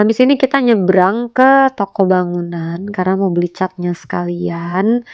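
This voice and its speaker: A woman's voice: mid-range voice, no room sound, highs cut off. A young woman narrating a casual home vlog in a chatty way, her voice a little stuffy from a cold. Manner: chatty, fillers like gitu and guys. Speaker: vlogger, homemaker.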